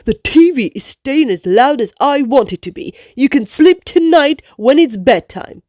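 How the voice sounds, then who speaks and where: A woman's voice: high voice, expressive, unhurried, darkish tone, dry recording, studio quality. A woman voicing a grumpy, stubborn female dragon in a children's storybook, blunt and defiant. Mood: angry, stubborn. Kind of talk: children's picture book read-aloud.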